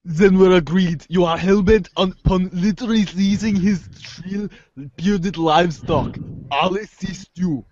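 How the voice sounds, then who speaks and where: A man's voice: high voice, measured pace, a little mellow, highs cut off. A man voicing a haughty, wordy teenage girl with pompous, florid phrasing in an amateur comic script reading. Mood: wry. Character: haughty, verbose teenage girl, snarky intellectual.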